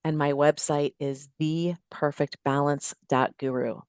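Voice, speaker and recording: A woman's voice: low voice, fairly even intonation, measured pace, darkish tone, clean tone, no echo, studio quality. A middle-aged woman chatting as a podcast guest, giving emphatic, plain-spoken fitness advice and building her points in lists. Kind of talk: casual interview chat giving advice.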